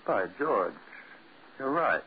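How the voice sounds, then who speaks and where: A man's voice: medium pitch, very animated, slow. A man playing a stubborn, curt scientist husband in an old radio drama, imperious and snappish. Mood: brusque. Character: stubborn, curt, obsessive rose-breeding chemist husband. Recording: tinny, faintly noisy vintage radio recording.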